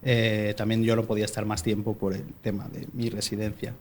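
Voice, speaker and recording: A young man speaks with a Málaga accent tinged with Mexican. He tells his personal faith story as a podcast guest in an easy conversational way, often pausing and restarting his sentences. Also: lowish voice, monotone, darkish tone. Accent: Málaga Spanish with Mexican traces. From born in Mexico, raised in Spain. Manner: chatty, storytelling, frequent hesitations and restarts.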